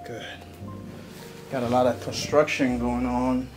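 A middle-aged man working as a chiropractor, chatting casually and giving calm instructions to a patient. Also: mid-range voice, unhurried. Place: small treatment room. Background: music.

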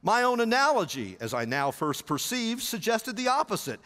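A middle-aged man with a Southern American accent preaching a storytelling sermon, animated and gesturing as he paces the stage on a headset mic. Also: sits high, normal speed. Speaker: pastor. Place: large church auditorium stage. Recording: long church hall reverb.

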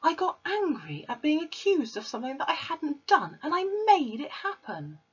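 A woman's voice: sits high, huge pitch swings, moderate pace, a bit bright, pitched above the performer's own voice, full character voice. A woman putting on an earnest little girl. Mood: insistent. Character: small clever girl, earnest and serious. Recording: slightly muffled recording.

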